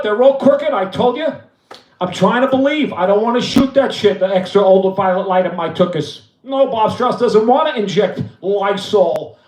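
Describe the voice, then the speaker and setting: A man putting on a voice as a brash, boastful veteran road manager who rambles and talks about himself in third person, as a comic bit on a live stream. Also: extremely high pitch. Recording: roomy echo.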